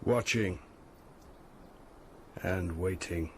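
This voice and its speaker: A man's voice: sits low, drawn-out words, noisy background, exaggerated voice. A man playing a proud, commanding ancient Greek hero in a historical audio drama, declaiming with hunger for fame.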